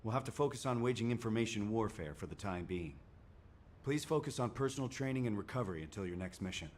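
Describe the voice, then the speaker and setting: An actor playing a grave male military commander with a steady, serious briefing tone. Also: on the low side, fast talker, a little hiss or hum, natural voice.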